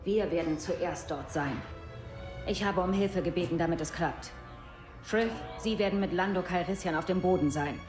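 An actress playing a determined squad commander in a video game, firm and commanding. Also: female voice, fairly low pitch, normal speed, lightly acted. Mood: briefing, resolute. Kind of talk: dramatic video game acting. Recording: loud music behind, roomy echo.

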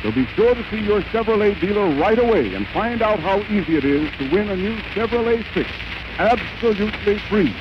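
Male vintage radio pitchman, high voice, formal enunciation, muffled recording. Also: lively intonation, fairly quick, background noise. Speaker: radio announcer. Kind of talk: scripted 1930s radio advertising.

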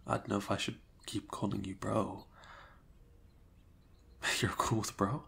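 A young man speaking softly and hesitantly in an improvised ASMR roleplay, often dropping to a whisper. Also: fairly low pitch, level tone, measured pace, gravelly, breathy edge.

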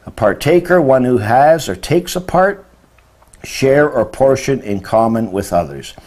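A man in his sixties with a medium-pitched voice delivers an informal religious lesson. He explains terms didactically, leans on key words and often puts rhetorical questions to the listener. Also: lively intonation, unhurried, a little mellow. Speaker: religious teacher. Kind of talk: informal lecture explaining word meanings. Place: indoor room beside a presentation screen.

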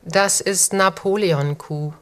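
A woman records a brief test line at a slow pace. Her delivery is measured and deliberate, with a medium pitch. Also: fairly bright tone. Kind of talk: short recorded test phrase.

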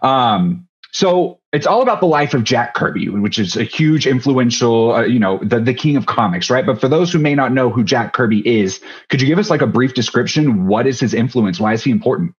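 A man's voice: mid-range voice, animated, brisk, few pauses. A man in his thirties with an American accent, interviewing casually as a host. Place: small home room on video call. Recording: slightly dull video-call audio.

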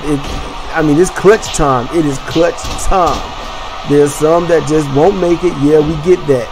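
Host voice: energetic rambling man, fairly high voice, game music behind. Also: unhurried, low-quality recording. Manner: energetic, chatty, rambling with restarts. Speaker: host and commentator. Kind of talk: video game wrestling commentary.

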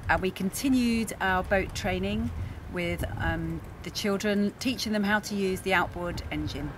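A woman in her forties chats to camera as a travel vlogger. Her voice sits at a middling pitch. She was recorded outdoors by the water, with clear ambient noise around her. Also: measured pace. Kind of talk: casual vlog narration to camera. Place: outdoors on a harbour quayside.

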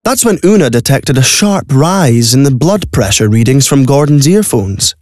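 A man's voice: mid-range voice, sing-song, moderate pace, darkish tone, no room sound, clean studio sound. A man reading scripted narration for an audio drama.

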